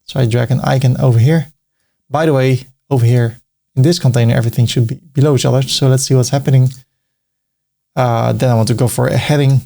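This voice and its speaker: Dutch-accented man, gravelly tutorial voice. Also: medium pitch, fairly even intonation, fast, dark tone. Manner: step-by-step, casual, light joking asides. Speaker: web design tutor. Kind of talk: screen-recorded tutorial narration.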